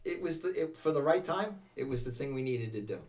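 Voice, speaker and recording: A man's voice: mid-range voice, animated, fairly quick, darkish tone, far from the mic. An older man with an American accent chatting casually as an interview guest, often repeating and reworking his phrases. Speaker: interview guest, veteran volunteer firefighter. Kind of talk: casual reminiscing chat.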